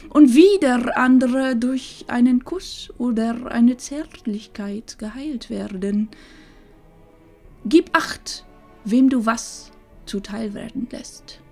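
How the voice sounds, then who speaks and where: Slow, wise old grandmother played by a woman. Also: fairly high pitch, mellow. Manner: solemn, measured, tender, with breathy phrase endings. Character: wise, loving old grandmother giving parting advice. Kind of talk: fantasy audio drama acting.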